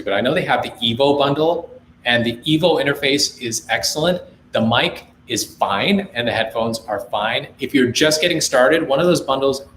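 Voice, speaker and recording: A man's voice: mid-range voice, roomy sound, slight background noise, low-quality recording. A young man from India with an American accent, hosting a casual live chat from his home music studio and giving friendly gear advice. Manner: relaxed, reads viewer comments aloud, then gives friendly gear advice. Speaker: livestream host, music producer.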